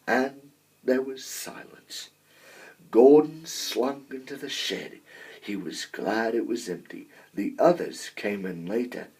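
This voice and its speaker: A man narrating a children's story aloud in an expressive storytelling style. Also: medium pitch, takes it slowly, slightly rough. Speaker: amateur story reader.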